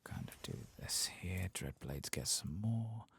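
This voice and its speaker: A man softly murmuring low-key ASMR game commentary to himself, breathy and gravelly, often slipping into a whisper. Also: expressive, measured pace, dry recording. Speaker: streamer playing a video game.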